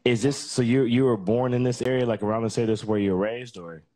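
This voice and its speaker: A man hosting a podcast interview, relaxed and encouraging with easy back-and-forth chat. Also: medium pitch, fast, crowd noise. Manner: relaxed, encouraging, says "that's what's up". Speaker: podcast host. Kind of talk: casual interview.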